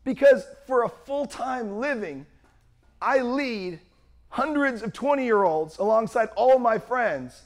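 A young man preaching a sermon with a worn-out, strained voice and a loud, projecting manner. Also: extremely high pitch, lively intonation, slow pace. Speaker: pastor.

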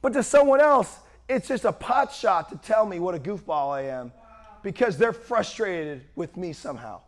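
A young man preaching a sermon with a worn-out, strained voice and a loud, projecting manner. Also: sits high, lively intonation, moderate pace. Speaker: pastor.

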